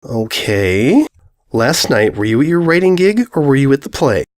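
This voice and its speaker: A man's voice: mid-range voice, sing-song, moderate pace, close to an everyday voice. A man voicing a jealous, easily flustered young female pony who stammers and turns sarcastic in a story. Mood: suspicious.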